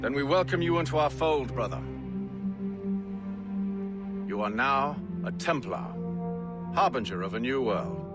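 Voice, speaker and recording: An actor playing an authoritative leader of a secret order in a video game, with a formal, ceremonial delivery. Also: male voice, medium pitch, expressive, unhurried, long pauses, over loud music, natural voice. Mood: solemn.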